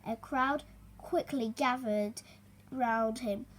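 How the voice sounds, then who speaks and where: Child female reader, high voice, slow pace, expressive storybook delivery with halting stumbles. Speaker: young reader hosting a story video. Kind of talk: reading a children's storybook aloud, with chatty asides.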